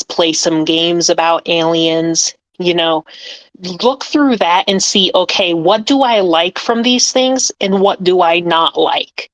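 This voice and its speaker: A woman script writer calmly explaining her writing habits in a casual podcast chat. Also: on the low side, low-quality recording. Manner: slow, thoughtful explaining with frequent "like" and "um".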